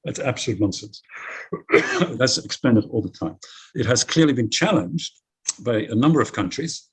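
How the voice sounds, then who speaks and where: A man's voice: medium pitch, expressive, normal speed, husky. A middle-aged man whose first language is Dutch, speaking on a panel discussion with blunt, emphatic opinions.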